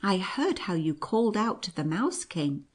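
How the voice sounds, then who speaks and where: A woman's voice: medium pitch, very animated, normal speed. A woman voicing a little girl in a sickbed, plaintive and reproachful, as she reads a storybook aloud. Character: girl Maria, upset, sickly, reproachful.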